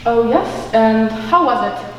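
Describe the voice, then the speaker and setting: A woman's voice: fairly high pitch, animated, slow pace. A young woman playing a bewildered, unprepared actor bluffing through a stage play, hesitant and awkwardly polite. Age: young adult. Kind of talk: stage comedy acting. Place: large indoor school auditorium, on stage. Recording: echoey auditorium, distant mic, faint noise.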